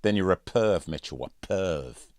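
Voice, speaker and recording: A man's voice: low voice, animated, unhurried, a little mellow, no echo, clean studio sound. A man voicing a scornful, bullying teenage schoolboy in an audiobook, with a taunting, emphatic delivery. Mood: mocking. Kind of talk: audiobook character voice.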